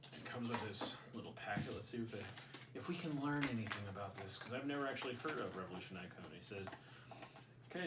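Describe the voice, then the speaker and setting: A man's voice: mid-range voice, background noise. Guy rambling thru a gear review at home, jokey, mic kinda far, roomy. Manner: rambling and jokey, thinking aloud. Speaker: channel host. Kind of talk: casual product review. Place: indoors at home.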